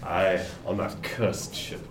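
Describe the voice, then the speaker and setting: A man's voice: low-pitched, fairly even intonation, slow, distant mic. A man playing a jovial, superstitious older sailor in a seafaring radio drama, talking with colloquial yarn-spinning swagger. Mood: ominous storytelling. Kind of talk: period radio drama acting. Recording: roomy, off-mic, noisy ship ambience.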